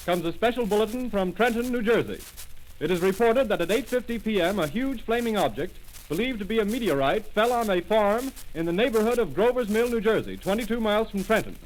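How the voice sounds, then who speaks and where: A formal studio news announcer. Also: male voice, high voice, rough recording. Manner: measured, formal bulletin reading. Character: formal male studio radio announcer reading bulletins. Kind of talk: 1930s radio drama acting. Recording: old radio broadcast recording with faint noise.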